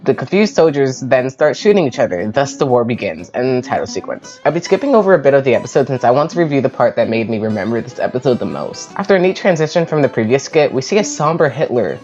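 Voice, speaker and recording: A woman's voice: very deep voice, big pitch swings, quick pace, a little mellow, dull audio, soft background music. A woman hosting a video review, reading scripted narration with casual asides. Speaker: host of a video review show.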